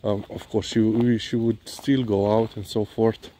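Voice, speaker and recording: A man's voice: on the low side, little pitch movement, warm tone. A man with a Slovenian accent rambles casually and hesitantly in a walk-and-talk vlog, often filling in with "you know". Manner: hesitant, halting delivery with frequent "you know". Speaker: dog owner and vlogger. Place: outdoors, on a walk. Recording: clearly noisy outdoor ambience, vehicle sounds.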